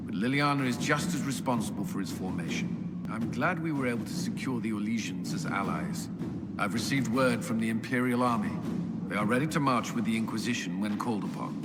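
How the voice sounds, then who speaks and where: A man plays a dutiful fantasy army commander with a fairly low voice. He speaks in a measured, formal and clipped military way, earnest and serious about his duty. The sound has long reverb and noisy ambience, as in a video game. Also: lightly acted. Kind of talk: dramatic video game voice acting.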